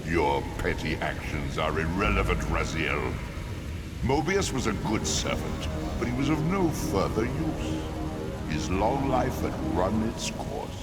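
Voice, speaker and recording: An ancient, imperious god from a game. The voice is very low and slow, cold and menacing in its pompous delivery, over loud music. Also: male voice, very animated. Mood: cold disdain. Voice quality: deep. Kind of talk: video game cutscene acting.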